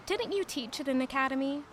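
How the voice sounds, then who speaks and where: An idealistic young noblewoman in an audio drama. Her voice is high and light, and she speaks with open earnestness. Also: unhurried, fairly bright tone, a little hiss or hum. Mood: coaxing, amused.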